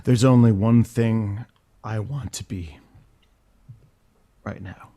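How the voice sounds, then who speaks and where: A man playing a seductive, wounded consort of a starship captain in an audio drama, with a halting, sultry, theatrical delivery. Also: on the low side, warm tone. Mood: tender, pleading. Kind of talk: gender-swapped science fiction audio drama acting.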